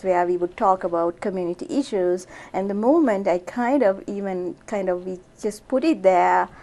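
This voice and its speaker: A woman's voice: medium pitch, warm tone. Chatty woman doctor from Sri Lanka. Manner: chatty, many fillers and restarts. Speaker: physician guest. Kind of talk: casual interview answers.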